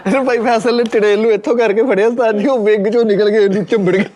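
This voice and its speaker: A man's voice: high voice, fairly even intonation, quick pace, a little mellow, slight background noise. A man with a Pakistani Punjabi accent chipping in with casual banter as a panellist. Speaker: talk show panellist.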